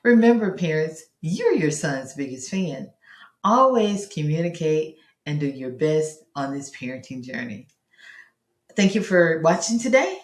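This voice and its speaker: A woman's voice: low-pitched, very animated, unhurried. A woman hosting a parenting lesson, speaking directly to parents in an encouraging way with emphatic repetition of key phrases. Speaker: host of a parenting tips show. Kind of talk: instructional talk to parents, direct address. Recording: roomy, echoing voice recording.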